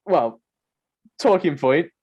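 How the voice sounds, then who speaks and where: A man's voice: fairly high pitch, animated, slow, breathy edge, highs cut off. A man co-hosting a podcast, chatting casually and informally, with opinions of his own. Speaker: podcast co-host. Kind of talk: casual podcast chat about films and games.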